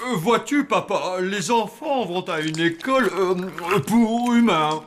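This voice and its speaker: A man's voice: high voice, lively intonation, slow, a bit bright, a little hiss or hum, full character voice. A man playing a mild-mannered, hesitant zombie father in a children's cartoon. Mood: nervous, placating. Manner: hesitant, conciliatory. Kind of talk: French children's cartoon acting.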